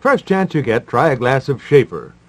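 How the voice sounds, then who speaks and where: A man hosting and announcing in 1950s radio style, wry and formal, with deadpan delivery. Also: medium pitch, moderate pace, dry recording. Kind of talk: 1950s radio patter, mock-serious advice and live ad reads.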